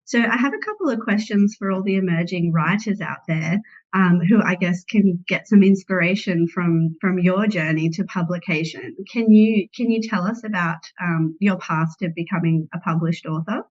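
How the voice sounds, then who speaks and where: A woman interviewing an author for a library, asking polite, measured questions with frequent pauses. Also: fairly low pitch, a little mellow. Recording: dull lo-fi recording.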